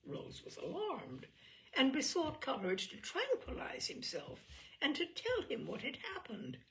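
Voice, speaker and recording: A woman reads amusing anecdotes aloud in a fairly high voice edged with creak. Her delivery is theatrical and deliberate, swinging widely in pitch to land the key words. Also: far from the mic. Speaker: storyteller reading aloud. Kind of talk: animated dramatic reading of humorous anecdotes.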